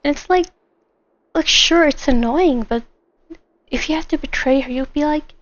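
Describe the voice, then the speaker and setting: A woman giving casual, rambling live commentary while streaming a game, in an animated manner. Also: high-pitched, normal speed, highs cut off. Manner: animated, rambling, acts out imagined lines. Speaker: streamer, gamer.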